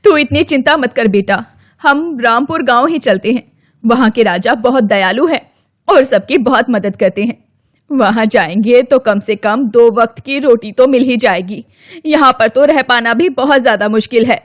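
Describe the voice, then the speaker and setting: A woman's voice: a little high, moderate pace, lightly acted. A woman voicing an anxious, caring widowed village mother in a Hindi cartoon moral story. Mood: reassuring.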